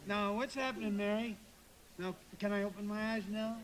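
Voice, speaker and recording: A man's voice: sits high, crowd noise, natural voice, rough recording. A man acting an overjoyed, stammering young father in a live radio play staged from a 1940s script. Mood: excited, dazed. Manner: excitable, halting, stammering. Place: live theatre stage performance.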